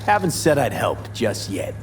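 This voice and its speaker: An actor playing a gruff, skeptical streetwise mercenary in a video game, talking in a dry, clipped way. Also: male voice, on the low side, wildly swooping pitch, measured pace, far from the mic, over music.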